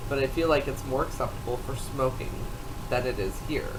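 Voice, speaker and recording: A woman's voice: rumbling low, fairly quick. Tipsy American woman chatting, noisy recording. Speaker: podcast co-host. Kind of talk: casual banter. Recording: clearly noisy room recording.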